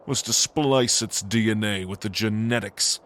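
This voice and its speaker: A man's voice: fairly low pitch, unhurried, a bit bright. A man playing an ancient, arrogant angel lord, a gloating villain who speaks with grandiose contempt in a fantasy audio drama.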